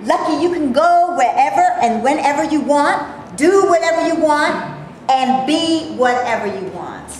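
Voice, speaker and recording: A woman playing a weary, sharp-tongued actress with a folksy, emphatic delivery in a staged play reading. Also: high-pitched, expressive, unhurried. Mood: angry, scolding. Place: indoor room, live staged reading. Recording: echoey room, hissy amateur video.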